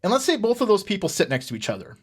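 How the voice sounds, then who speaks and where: A middle-aged man with an American accent giving unscripted podcast commentary in an earnest, halting manner, often repeating words. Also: sits high, huge pitch swings, husky. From United States. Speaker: podcast host. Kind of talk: unscripted political commentary with personal stories.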